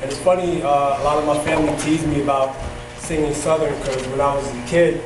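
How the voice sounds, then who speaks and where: A man's voice: a little high, fairly even intonation, brisk. A man, a drum singer, talking reflectively and hesitantly, with fillers, about how he learned his songs. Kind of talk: spoken self-introduction. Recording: echoey room, distant mic, noise.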